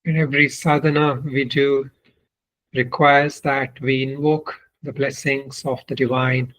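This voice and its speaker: A man leading a guided meditation as a spiritual teacher, speaking in short, deliberate phrases with long pauses. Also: mid-range voice, flat delivery, a bit bright, rough recording. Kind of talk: guided meditation, spoken instruction.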